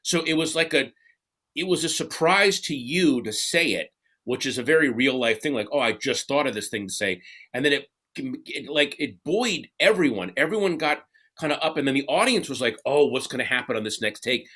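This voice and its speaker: Male panelist: bright tone, casual, effusive, halting reminiscence. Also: medium pitch, lively intonation. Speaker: cast member on reunion panel.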